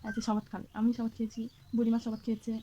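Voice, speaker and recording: A woman's voice: fairly high pitch, monotone, racing, mellow, slight background noise. A young woman vlogging at home, coaxing a small child in a sing-song, playful way. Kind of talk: casual home vlog.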